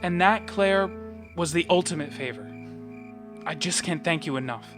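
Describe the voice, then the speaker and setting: A man's voice: fairly high pitch, normal speed, over music, lightly acted. A young man playing a troubled, lonely, sardonic teenage boy in an audio play, with a dry, morbid way of talking. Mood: calm, grateful.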